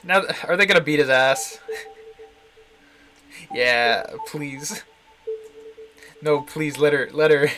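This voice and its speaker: A man's voice: a little high, takes it slowly, long pauses. A man streaming a visual novel with casual, amused, wry commentary over the game's music. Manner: amused, wry, frequently chuckling. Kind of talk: casual live game commentary. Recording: music playing behind the voice. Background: visual novel music and Japanese voice acting.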